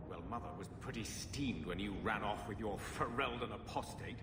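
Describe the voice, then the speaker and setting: An actor playing a sour, shifty middle-aged uncle who answers curtly. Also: male voice, on the low side, normal speed, background noise, natural voice. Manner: curt, defensive. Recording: game audio with music, reverb.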